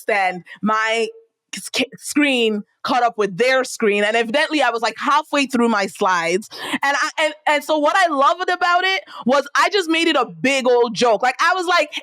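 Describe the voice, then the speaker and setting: Nigerian accent lady on a podcast, kinda high voice, dry close mic, acts out quotes. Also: dry recording. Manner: animated, acts out quotes with big pitch jumps. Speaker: podcast guest, entrepreneur and speaker. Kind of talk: storytelling in casual interview.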